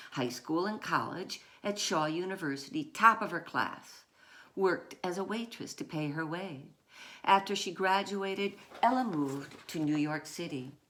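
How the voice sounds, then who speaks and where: A woman who writes children's books, reading aloud and chatting in a storytime style, with deliberate, emphatic phrasing. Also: lowish voice, fairly bright tone. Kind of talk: storytime read-aloud with informal asides.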